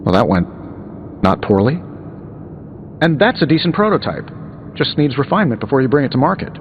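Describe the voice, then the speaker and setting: An actor playing a wisecracking, easygoing wealthy superhero inventor in a video game, talking in a glib, wry, conversational way. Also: male voice, medium pitch, very animated, brisk, muffled recording, noisy background. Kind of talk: video game dialogue acting.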